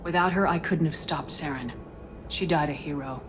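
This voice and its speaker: A woman playing a resolute, no-nonsense military commander in a sci-fi video game, direct and steady. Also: sits low, moderate pace, noisy background, natural voice. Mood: solemn. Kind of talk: video game cutscene acting.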